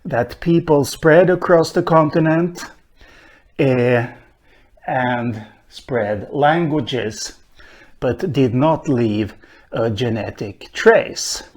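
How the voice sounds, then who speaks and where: A middle-aged man from Sweden, a linguist lecturing at a whiteboard in a room with bookshelves, speaking in a measured way with frequent pauses. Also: a little high, a little mellow. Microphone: camera at medium distance.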